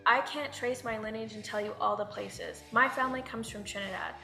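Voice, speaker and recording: Reflective woman, bright echoey voice. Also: fairly high pitch, fairly even intonation, a little fast, creaky, faint music behind. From United States. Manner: reflective, loose with many fillers. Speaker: guest speaker. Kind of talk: panel discussion talk. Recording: echoey room.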